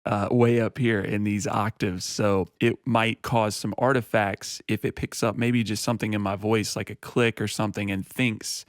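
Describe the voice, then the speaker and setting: A man with a deep voice explaining audio software in a relaxed, casual tutorial manner. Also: normal speed, few pauses, dry recording, clean studio sound. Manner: relaxed, explanatory, uses fillers like "you know".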